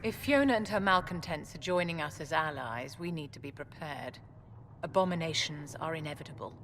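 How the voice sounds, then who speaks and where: An actress playing a haughty, elegant court mage in a video game, measured and condescending. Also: female voice, deep voice, a bit bright. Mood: cool, commanding. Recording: reverberant hall, faint background noise.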